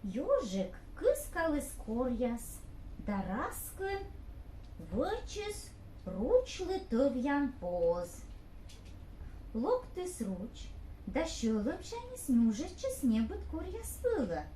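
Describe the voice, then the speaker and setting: A woman narrating a fairy tale for a puppet show with slow, expressive telling. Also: high voice. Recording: echoey room with faint hiss.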